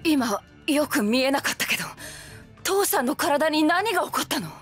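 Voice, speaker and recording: A tough young fighter from an anime game. Her voice is extremely high, urgent and rough-spoken, with faint music behind it. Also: expressive, normal speed. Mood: worried. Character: tough young woman fighter, alarmed daughter. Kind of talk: anime video game cutscene acting.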